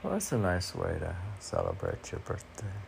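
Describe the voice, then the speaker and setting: A man's voice: deep voice, wildly swooping pitch, moderate pace, creaky, a little hiss or hum. A man informally reading his own poetry and musing aloud about it, often questioning himself as if in conversation. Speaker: poet reading and commenting on own poems.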